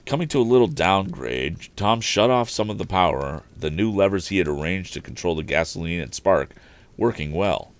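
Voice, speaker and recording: A man reading an adventure story aloud in an expressive audiobook style. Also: sits low, normal speed.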